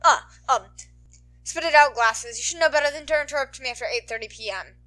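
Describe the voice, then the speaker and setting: A woman voicing a brash, foul-mouthed teenage boy in a story, curt, loud and irritable. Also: high voice, a little fast. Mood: irritated.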